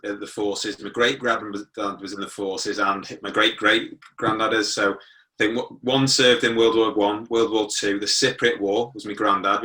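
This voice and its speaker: A British man in his forties with a low-set voice and a bright, forceful edge. He tells his story informally, as a guest would in an interview, and sits a little way from the microphone. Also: recorded from a distance, rough recording. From born in Germany, moved to the UK at nine. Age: 40s. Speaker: interview guest, motivational speaker.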